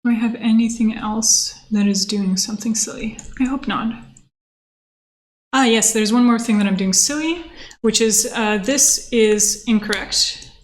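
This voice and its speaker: A woman, explaining technical things casually and haltingly on a livestream. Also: fairly high pitch. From born in Moscow, grew up in Canada. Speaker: software engineer, livestream host.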